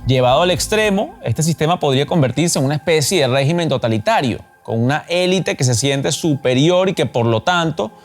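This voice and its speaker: A man's voice: a little high, animated, fairly quick, hardly any pauses, soft background music. A man presenting a scripted explainer, narrating arguments and facts as the show's host.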